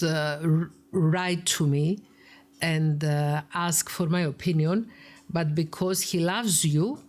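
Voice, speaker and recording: A woman's voice: on the low side, darkish tone. A woman psychologist with a Greek Cypriot accent, reading out a viewer's email and commenting on it in an earnest, emphatic way, sometimes dropping to a whisper for stress. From Cyprus. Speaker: psychologist and YouTube host.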